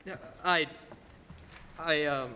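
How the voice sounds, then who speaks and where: A man's voice: a little high, takes it slowly, pushed and bright, smooth, full character voice. A young man playing a stammering, easily agitated family man in a radio play, hesitant and on edge. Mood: desperate. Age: young adult. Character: frantic, stammering family man at breaking point. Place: stage in a school theater. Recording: reverberant hall with background noise.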